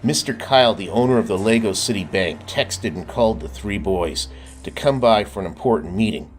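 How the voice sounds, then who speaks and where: A man reading a children's storybook aloud in a lively way, often stopping to ask the listener questions. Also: on the low side, a little fast, faint music behind. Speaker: storybook reader. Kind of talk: children's book read-aloud.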